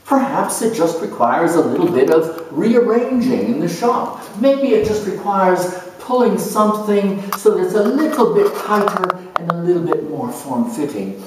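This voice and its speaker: A man performing a first-person character monologue in a deliberate, measured, theatrical way with emphatic phrasing. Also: sits high, sing-song, a little hiss or hum. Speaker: astrologer dramatizing zodiac character types. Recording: echoey room, distant microphone.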